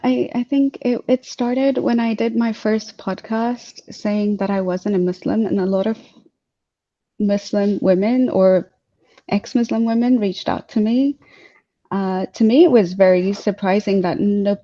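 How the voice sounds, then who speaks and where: A woman's voice: mid-range voice, fairly even intonation, unhurried, mellow. Young woman from Tanzania, dull call audio. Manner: hesitant, many fillers and restarts. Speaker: guest speaker. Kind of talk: casual webinar interview. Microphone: video call.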